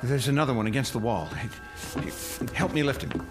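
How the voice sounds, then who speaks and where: A man's voice: mid-range voice, fairly quick, natural voice. An actor in a radio drama playing an earnest, bewildered junior space crewman with a questioning manner. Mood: bewildered, alarmed. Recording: loud music bed under dialogue.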